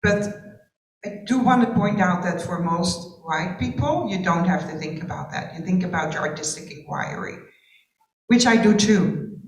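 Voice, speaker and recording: A woman's voice: fairly low pitch, normal speed. Woman from Curacao, roomy distant sound. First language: Dutch. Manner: reflective, searching, with restarts and fillers. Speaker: panel participant. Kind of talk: panel discussion. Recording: roomy sound from far off the mic.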